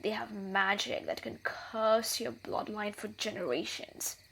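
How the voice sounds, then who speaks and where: A woman's voice: medium pitch, flat delivery, measured pace, creaky, a bit bright, breathy edge, exaggerated voice, rough recording. A woman playing a wily, menacing thief in a scripted fantasy audio roleplay, with a theatrical delivery. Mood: menacing, mocking.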